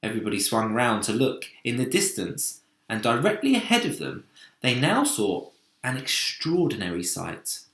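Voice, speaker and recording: A man's voice: mid-range voice, big pitch swings, normal speed, fairly bright tone. A man narrating a children's storybook expressively. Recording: roomy, echoing sound.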